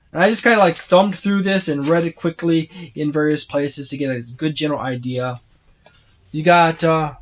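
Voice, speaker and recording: A reviewer talking casually and unscripted through a tabletop game book, rambling along and often listing items one after another. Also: medium pitch. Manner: rambling, repeats and lists items.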